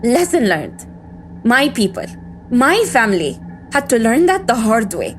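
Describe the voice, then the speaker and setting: A fairly high-voiced female agent played by a woman in an audio drama, embittered and defiant. She speaks with weary intensity and a sardonic edge, over a light bed of music. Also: very animated, unhurried. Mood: bitter, exasperated. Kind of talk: scripted audio drama monologue and dialogue.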